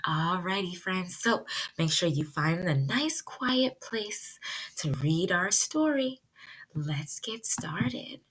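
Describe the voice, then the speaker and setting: A woman preschool teacher leading a virtual read-aloud lesson for young children, speaking in simple, deliberate phrases and putting questions to the children. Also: lowish voice, lively intonation, fairly bright tone, husky, poor audio.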